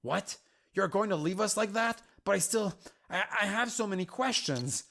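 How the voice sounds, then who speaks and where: A man's voice: high voice, animated, moderate pace, vocal fry, a bit bright, exaggerated voice. A man voicing a troubled, defiant warrior woman in a story, earnest and questioning in an agitated manner. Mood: dismayed. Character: Arya, troubled defiant young female warrior burdened by war.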